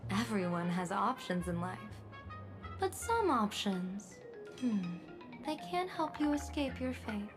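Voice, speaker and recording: A woman's voice: mid-range voice, big pitch swings, slow pace, over music. A woman playing a playful, enigmatic fortune-telling general in a video game, speaking in a theatrical, cryptic way. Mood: oracular.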